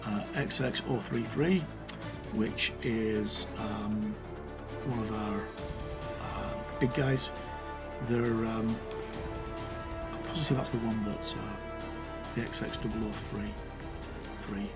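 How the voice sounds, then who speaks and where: A man's voice: fairly low pitch, steady tone, takes it slowly, long pauses, loud music behind the voice. A man giving a casual, unscripted walkthrough of a game sourcebook, rambling and hesitant, often correcting himself as he goes. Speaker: reviewer presenting a tabletop game sourcebook.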